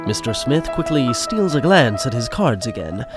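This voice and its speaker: A man's voice: mid-range voice, sing-song, normal speed. A man narrating a scripted comic audio drama in a wry storytelling manner. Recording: music behind the voice.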